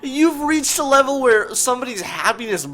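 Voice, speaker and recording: A man's voice: very high voice, expressive, normal speed, a bit bright. A young man co-hosting a casual chat, joking, swearing and slipping into mock-dramatic bits. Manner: profane, jokey, mock-dramatic outbursts. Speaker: podcast co-host.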